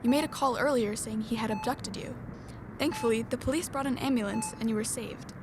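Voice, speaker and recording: Casting: female actor for matter-of-fact hospital medic, audio drama, slightly high voice, calm explanations, clear background noise. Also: fairly quick, lightly acted. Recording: noisy background ambience.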